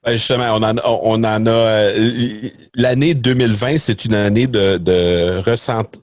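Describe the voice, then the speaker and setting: A man's voice: lowish voice, steady tone, unhurried, dry recording, studio quality. A middle-aged man with a Québécois French accent, chatting as a podcast guest, hesitant with many fillers and restarts. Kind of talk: casual interview chat.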